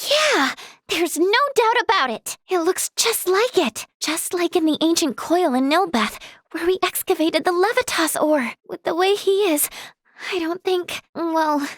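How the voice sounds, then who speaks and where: Super high girly video game healer, young, earnest and bubbly, dry close sound. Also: dry recording. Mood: thoughtful. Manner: girlish, expressive, earnest.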